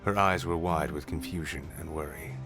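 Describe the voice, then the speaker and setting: Deep-voiced male story narrator. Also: normal speed, over music.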